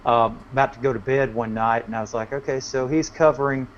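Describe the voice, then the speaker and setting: A man of medium pitch chats casually as an interview guest. His manner is easygoing and folksy. The sound is muffled and low in fidelity, with a little noise behind it. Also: fairly even intonation, brisk. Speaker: guest, working musician. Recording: dull lo-fi connection, faint noise.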